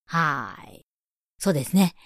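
A woman's voice: deep voice, lively intonation, unhurried, vocal fry, dry recording, clean studio sound. A woman hosting a casual radio chat, mixing polite speech with playful teasing. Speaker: radio show host.

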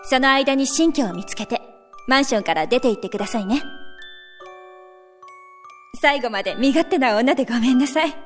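A woman acts a self-effacing but resolute wife in a radio drama. She speaks formally and with composure in a high, feminine voice, with soft music underneath. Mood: gentle resolve. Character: resolute, self-effacing wife reading her farewell letter.

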